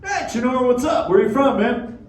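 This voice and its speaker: A man's voice: extremely high pitch, expressive, moderate pace. An actor voicing a laid-back male sea turtle dad who talks in surfer slang, drawling "dude" and "bro" to a live crowd. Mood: easygoing, playful. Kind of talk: live interactive show with a crowd. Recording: roomy echo, distant mic, crowd noise.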